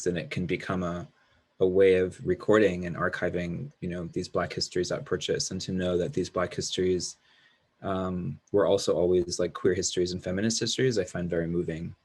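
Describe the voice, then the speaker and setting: Guy moderating a panel, deep husky voice, flat even talk, thoughtful. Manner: measured, thoughtful, academic. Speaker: moderator, professor.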